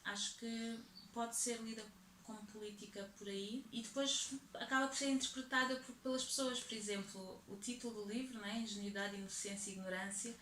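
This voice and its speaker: A young woman with a European Portuguese accent answers interview questions in a reflective, measured way. Her pitch stays fairly level, and she often adds short tag questions. The room is echoey, with a faint ambient hiss behind her. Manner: thoughtful, steady, with frequent tag questions. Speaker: poet and activist interviewee.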